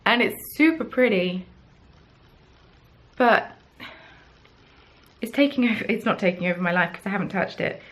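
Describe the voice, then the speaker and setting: A woman's voice: mid-range voice, animated, moderate pace, long pauses, fairly bright tone. A young woman from the south of England with a Southern English accent, hosting a casual crafting podcast and chatting in a rambling, self-deprecating way. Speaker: podcast host. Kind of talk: casual chat.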